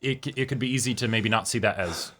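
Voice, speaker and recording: A man co-hosting a reaction show, chatting casually and excitedly, often repeating words for emphasis. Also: lowish voice, fast. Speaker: co-host of an anime reaction show.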